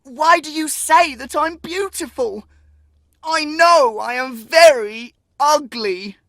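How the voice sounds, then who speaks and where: A man's voice: very high-pitched, slow pace, a bit bright, no room sound, voice pushed up, full character voice. A man voicing a sad, humble, frightened young boy in a fairy tale, earnest and plaintive. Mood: distressed, humble.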